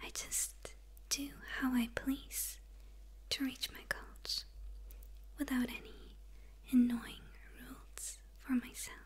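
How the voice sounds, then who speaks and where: A woman's voice: high voice, level tone, heavily acted. Woman whispering as a gloating demon villainess. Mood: smug teasing. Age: young adult. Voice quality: breathy whisper.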